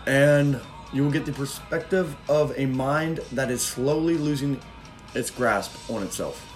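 A hoarse young man co-hosting a podcast, rambling with crude, goofy jokes. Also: medium pitch, measured pace, faint music behind. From Ohio. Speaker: podcast co-host. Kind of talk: casual chat.

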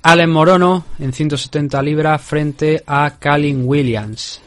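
A man's voice: fairly high pitch, steady tone, unhurried. Man hosting a combat sports podcast. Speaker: podcast host. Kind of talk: MMA event preview commentary.

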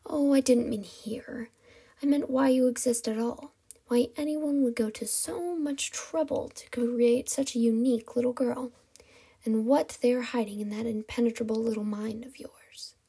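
A woman voicing a menacing, mocking male kidnapper in a hollow, breathy hissing whisper for a story reading. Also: fairly high pitch, fairly even intonation, dark tone, full character voice. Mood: sinister mocking. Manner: taunting, drawn-out menace.

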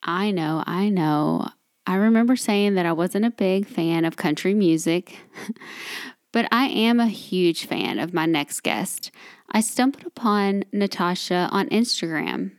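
A woman's voice: fairly low pitch, expressive, measured pace, no room sound. Chatty Southern woman host, dry sound. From Kentucky, USA. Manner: chatty, giggly, many fillers. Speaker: podcast host. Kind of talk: casual interview chat.

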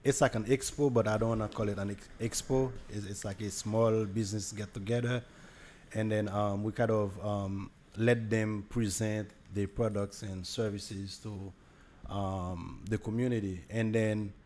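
A man's voice: on the low side, steady tone, measured pace, darkish tone, a little hiss or hum. A young man with a Haitian accent, talking casually as a podcast guest, with many fillers and restarts. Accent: Haitian Creole. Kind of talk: casual conversation.

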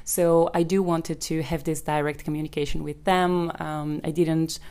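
A woman's voice: sits low, flat delivery, normal speed, mellow. A young woman from Bulgaria, a Bulgarian native speaker, hosting her own podcast and explaining a method in a chatty, conversational way with frequent ums. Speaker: podcast host and business coach.

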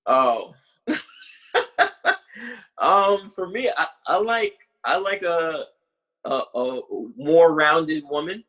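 A man's voice: a little high, expressive, drawn-out words, pauses often, fairly bright tone. A man in his late twenties chatting casually as a podcast co-host, talking things through in a reflective way and searching for his words. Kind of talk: casual conversation.

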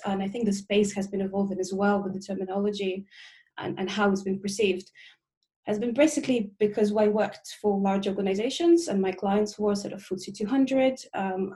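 A woman's voice: mid-range voice, warm tone. A woman from Russia, a consultant, giving long, fluent podcast interview answers with frequent "um" fillers. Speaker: guest, procurement and sustainability consultant.

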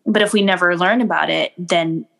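A woman's voice: fairly low pitch, normal speed, vocal fry, a bit bright. A woman from the United States in casual conversation, chatty and full of fillers, laughing often.

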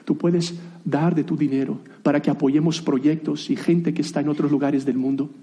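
A man's voice: on the high side, steady tone, moderate pace, mellow. A man in his thirties, a native Portuguese speaker, preaching a sermon in a conversational way with light self-deprecating asides and frequent restarts. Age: 30s.

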